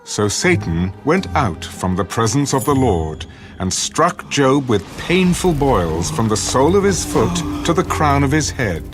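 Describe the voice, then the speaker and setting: A man's voice: mid-range voice, big pitch swings, a little slow, music in the background. A man giving solemn, storytelling scripted narration for a dramatised scripture reading.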